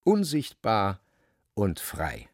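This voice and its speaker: A man narrating a novel as dramatic literary storytelling, expressive and vivid. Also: lowish voice, extremely slow, dry recording, clean studio sound.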